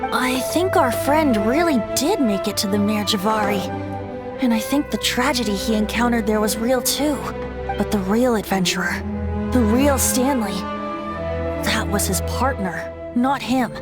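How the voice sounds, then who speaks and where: A woman's voice: fairly high pitch, unhurried, loud music behind the voice, lightly acted. A woman voicing a playful young bard in a video game, who speaks in a teasing, wry and musing way.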